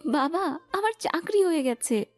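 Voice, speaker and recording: A woman's voice: high-pitched, expressive, a little slow, soft background music, voice pushed up. A woman voicing an eager, affectionate and independent young daughter in an audio story. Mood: happy, proud. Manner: affectionate, earnest.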